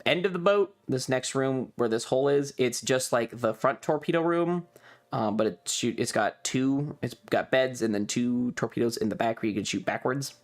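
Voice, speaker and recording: A man's voice: mid-range voice, normal speed. A man talking casually as a streamer, describing things in an offhand, rambling, informal way with some swearing. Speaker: streamer and host. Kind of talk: casual livestream chat, describing travel photos.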